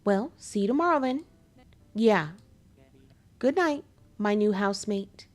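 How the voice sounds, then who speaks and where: A hoarse woman reading the lines of a polite male cannibal housemate in a game, calm and pleasant even when threatening. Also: medium pitch, unhurried, lightly acted. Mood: sweetly menacing.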